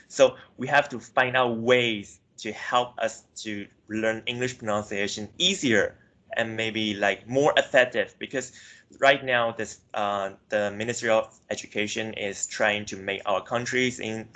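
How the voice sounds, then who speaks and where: A man's voice: medium pitch, fairly bright tone. A young man from Myanmar giving a class presentation, hesitant, with frequent self-corrections and filler words. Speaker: student presenter.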